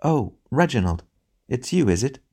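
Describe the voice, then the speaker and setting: A man voicing the bitter ghost of a mother, snappish and demanding, raising his voice higher for her. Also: expressive, quick pace, a little mellow. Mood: impatient, bitter.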